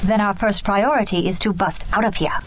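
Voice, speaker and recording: A woman's voice: mid-range voice, fairly even intonation, a little fast, slight background noise, lightly acted. A woman playing an assertive heroine in a video game, pointed and direct in manner. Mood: wry. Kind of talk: video game cutscene acting.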